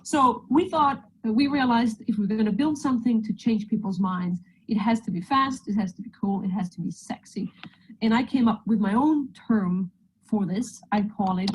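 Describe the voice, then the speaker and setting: A woman's voice: a little high, moderate pace, darkish tone, slight background noise, rough recording. A woman from Sweden with a Swedish accent, giving a friendly, joking presentation over a video call. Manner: friendly, joking, slides through a talk. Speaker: guest presenter. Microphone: video call microphone.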